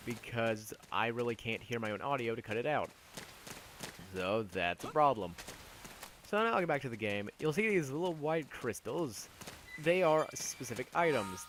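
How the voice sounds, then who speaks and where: A man's voice: mid-range voice, background noise. A man giving casual, rambling gameplay commentary as a video host, with a self-deprecating manner.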